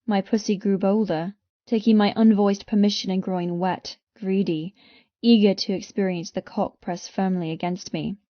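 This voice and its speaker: A woman reading first-person romance fiction as an audiobook narrator, with intense, expressive delivery. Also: medium pitch, moderate pace, no echo, highs cut off.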